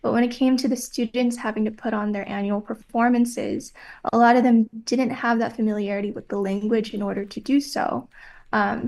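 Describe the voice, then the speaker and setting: A young woman whose first language is Vietnamese answers personal questions slowly and thoughtfully. Her fairly high voice has a gravelly edge, and she often pauses with "um" as she thinks. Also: a little mellow. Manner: measured, reflective, with frequent "um". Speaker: interviewee.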